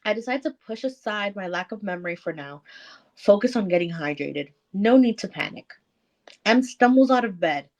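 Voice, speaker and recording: A woman's voice: mid-range voice, animated, normal speed, darkish tone, highs cut off. A woman co-hosting a book club podcast, reading aloud dramatically and casually, breaking off to comment and swear.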